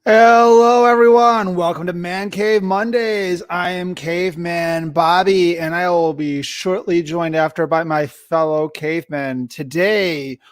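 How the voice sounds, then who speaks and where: A man's voice: high-pitched, fairly even intonation, a little slow, hardly any pauses, clean tone, no room sound, clean studio sound. A man hosting a podcast in a casual style, partly reading from notes. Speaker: podcast host.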